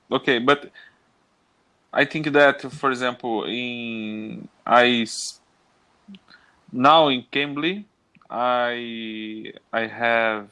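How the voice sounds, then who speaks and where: A man from Brazil with a Brazilian Portuguese accent, practicing English on a video call, halting and restarting as he hunts for words. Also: medium pitch, bright, forceful tone, clean tone. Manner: halting, many restarts and fillers while searching for English words. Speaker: English learner. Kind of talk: casual video-call conversation.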